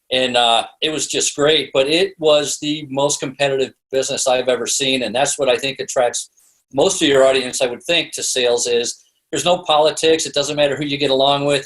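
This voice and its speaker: A man's voice: medium pitch, fairly bright tone, poor audio. Chatty middle-aged man telling anecdotes. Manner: chatty, rambling, peppered with "you know". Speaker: podcast guest, sales expert and author. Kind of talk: casual anecdotal interview storytelling.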